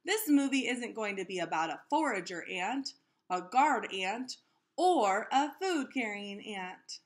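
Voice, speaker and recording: A woman voicing a decisive young schoolboy in a storybook, speaking deliberately and emphatically. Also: medium pitch, animated, natural voice.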